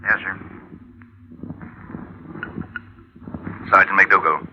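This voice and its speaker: Gruff, very deep-voiced police sergeant. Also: male voice, fairly even intonation, fairly quick. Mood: casual, on duty. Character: gruff male police sergeant, skeptical, easily surprised. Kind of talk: 1950s radio drama acting. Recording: dull, hissy old radio recording.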